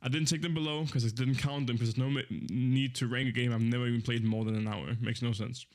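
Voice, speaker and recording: A man's voice: mid-range voice, steady tone, quick pace. Easygoing rambling young streamer man. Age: 20s. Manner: rambling, easygoing, with many fillers and self-corrections. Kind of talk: casual live-stream chat.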